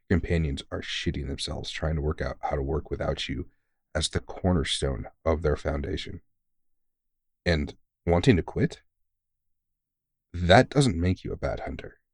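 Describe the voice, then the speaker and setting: A very low-voiced veteran monster hunter, acted by a man, recorded dry and close for an ASMR roleplay. He is kindly and worn out, soothing a younger recruit with gentle teasing and casual swearing. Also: dry recording. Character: kindly, weary veteran male vampire hunter mentoring a rookie. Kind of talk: intimate ASMR audio roleplay.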